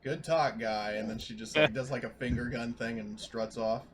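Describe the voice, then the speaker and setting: A man's voice: medium pitch, level tone, fairly quick, fairly bright tone, a little hiss or hum. A man casually narrating a character's actions as a player in a tabletop roleplaying game.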